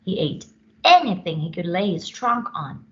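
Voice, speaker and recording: A woman reading a children's story aloud with expressive, dramatic emphasis. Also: fairly low pitch, normal speed, dull audio.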